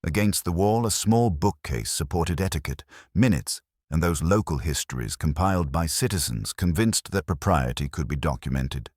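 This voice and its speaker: A man narrating a scripted mystery story in a measured, formal, literary manner. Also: low voice, animated, a little mellow, no room sound, clean studio sound.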